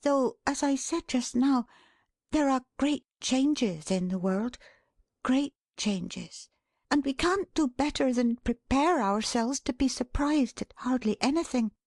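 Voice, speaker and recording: A woman voicing an excitable, affectionate, flustered young wife in a storybook reading, breathless and emphatic. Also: high-pitched, slow, no echo, clean studio sound, voice pushed up, full character voice. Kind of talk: dramatised classic storybook reading.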